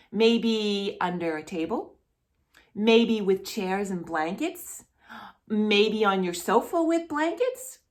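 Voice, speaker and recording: A woman hosting a children's storytime, speaking directly to young children in an encouraging, sing-song way with lots of little questions. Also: mid-range voice. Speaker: host of a children's storytime channel.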